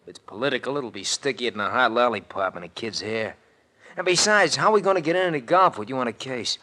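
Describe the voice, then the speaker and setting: An actor playing a slangy, wisecracking detective's sidekick in a 1940s radio mystery. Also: male voice, mid-range voice, lively intonation, fast, sharp tone. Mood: teasing, needling. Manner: brash, colloquial.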